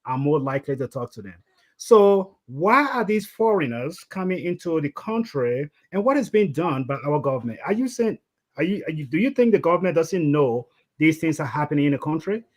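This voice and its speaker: A man's voice: a little high, animated, brisk. A Liberian man hosting a panel discussion over a video call, asking pointed, probing questions. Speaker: host.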